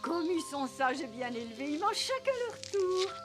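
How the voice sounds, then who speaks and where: A high, swooping voice for a bustling animated housekeeper. She fusses and scolds in a motherly way, with music behind her. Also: normal speed. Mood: tender. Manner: fussing, motherly. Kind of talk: French animated film dialogue.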